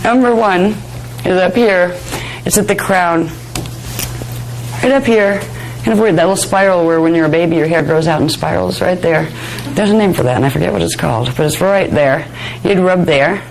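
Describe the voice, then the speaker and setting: A woman with a hoarse voice giving a spiritual workshop lecture, chatty and digressive, laughing often. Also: lowish voice, normal speed, darkish tone, a little hiss or hum, rough recording. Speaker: spiritual teacher. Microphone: handheld microphone near face.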